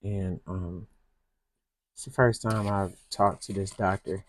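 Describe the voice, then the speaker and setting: A woman's voice: rumbling low, fairly even intonation. A woman from Michigan with a Southern US accent, rambling in a casual way as she decorates her planner. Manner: slow, soft-spoken, rambling with restarts. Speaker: planner vlogger.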